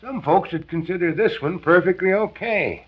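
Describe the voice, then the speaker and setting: A man's voice: high-pitched, normal speed, slightly rough. Ailing ex-salesman in his fifties, discouraged but wryly folksy; 1940s radio acting, muffled old transcription. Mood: bitter, resigned. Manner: folksy, wry, resigned. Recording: dull, band-limited 1940s radio transcription.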